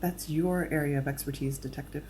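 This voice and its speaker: An actress playing a clinical, dry-humored medical examiner briefing a detective. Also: female voice, deep voice, steady tone, fairly quick, mellow, slight background noise, natural voice. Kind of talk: audio drama acting.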